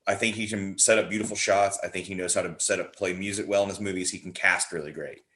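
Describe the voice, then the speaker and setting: A man's voice: deep voice, fairly even intonation, fast talker, bright, forceful tone. A man chatting casually about films in an opinionated, emphatic way. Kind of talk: casual film chat.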